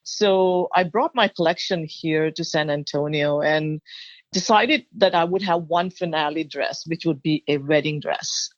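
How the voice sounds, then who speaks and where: A mature woman from Thailand telling her own story as an interview guest, animated and expressive. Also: low-pitched, unhurried, no room sound. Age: middle-aged or older. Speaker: guest, bridal designer. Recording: dull, lo-fi sound.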